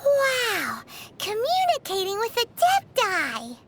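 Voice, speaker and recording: Tiny cheeky game fairy, squeaky child register, very high, bouncy and animated delivery. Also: female voice, takes it slowly, full character voice. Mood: excited. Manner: refers to self in third person, exclaiming. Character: small childlike floating fairy sidekick, excitable and cheeky. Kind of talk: animated video game dub dialogue.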